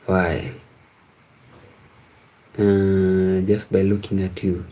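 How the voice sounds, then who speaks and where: Low, slow-talking man, mellow. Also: flat delivery. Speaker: live stream host. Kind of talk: casual chat with live viewers, reading out and answering comments.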